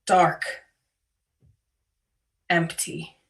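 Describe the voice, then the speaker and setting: Very slow, solemn woman worship leader. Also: low voice, level tone, vocal fry, distant mic. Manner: grave, deliberate, with short weighted phrases. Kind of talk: solemn scripted prayer and reflection. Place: online video call.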